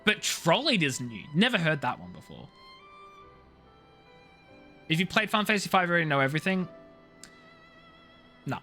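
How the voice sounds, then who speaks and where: Lively, bright-voiced man chatting over a game. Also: a little high, normal speed, long pauses, faint music behind. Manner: slangy, jokey, playful mock-outrage. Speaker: live streamer playing a video game. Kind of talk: casual chatty game commentary.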